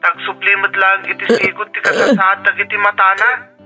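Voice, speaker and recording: A woman's voice: mid-range voice, flat delivery, moderate pace, close to an everyday voice. A woman playing an earnest, devoted older sister, pleading and prayerful, in an Ilocano radio drama. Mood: grateful, earnest. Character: earnest, devoted older sibling praying for a younger one. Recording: radio drama with music underneath.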